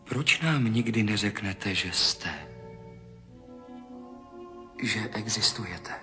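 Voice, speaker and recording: An actor playing a man adrift in a dreamlike vision in a 1970s radio play, with a husky voice and an urgent, awed way of talking. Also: lowish voice, expressive, pushed and bright, faint music behind. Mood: hushed, pleading. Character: astronaut Tom, adult man, anxious and searching. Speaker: narrating lead character. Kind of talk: 1970s radio drama acting.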